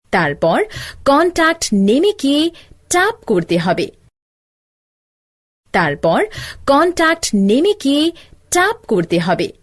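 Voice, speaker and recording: Woman acting as older-sister tutor, high voice, very lively intonation, scripted step-by-step cartoon lesson narration. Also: exaggerated voice. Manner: instructional, step-by-step. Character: older-sister teacher explaining gadgets to a child. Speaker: instructor.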